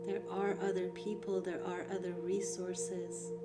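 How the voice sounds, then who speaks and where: A woman whose first language is Farsi, giving a calm, reflective guided art lesson with soft emphasis. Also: on the low side, a little slow, loud music behind the voice. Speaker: art therapy instructor.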